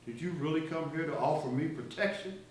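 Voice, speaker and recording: An actor playing a weary, outspoken activist in a stage play, brooding and intense. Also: male voice, on the high side, fairly quick, natural voice. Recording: echoey, distant stage recording, faint hiss.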